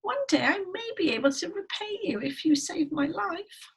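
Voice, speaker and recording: A woman voicing a tiny frightened female mouse who pleads in a small squeaky voice, in a children's storybook reading. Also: lively intonation, moderate pace, vocal fry, full character voice. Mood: frightened pleading.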